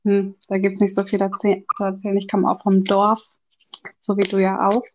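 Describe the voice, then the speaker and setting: A woman's voice: medium pitch, monotone, normal speed, lo-fi sound, slight background noise. A woman in her early twenties in a casual, unscripted podcast chat, speaking in a slightly tentative way. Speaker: podcast co-host.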